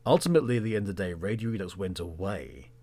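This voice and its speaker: A man hosting a podcast, talking reflectively in a measured, conversational way, in short phrases. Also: deep voice, animated, a little mellow. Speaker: podcast host and presenter.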